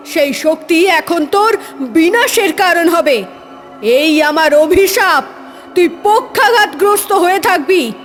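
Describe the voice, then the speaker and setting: Woman as a high-voiced commanding mother goddess. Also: exaggerated voice. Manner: solemn, commanding, declamatory. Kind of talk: devotional horror cartoon voice acting.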